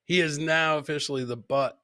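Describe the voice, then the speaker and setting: A man hosting a live comedy show, joking crudely about video clips in an animated way and repeating his lines for emphasis. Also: on the high side, brisk. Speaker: podcast host.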